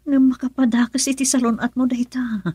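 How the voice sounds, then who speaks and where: High-voiced, pleading Filipino wife. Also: female voice, little pitch movement, brisk, dark tone, breathy edge, no echo. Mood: remorseful pleading. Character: remorseful wife and mother pleading to be forgiven. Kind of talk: Ilocano radio drama acting.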